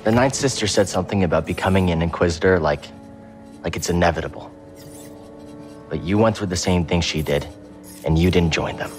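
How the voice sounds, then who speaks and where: An actor playing a young Jedi hero who talks in an earnest, guarded, low-key way. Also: male voice, brisk, pauses often, soft background music, lightly acted. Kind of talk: video game cutscene acting.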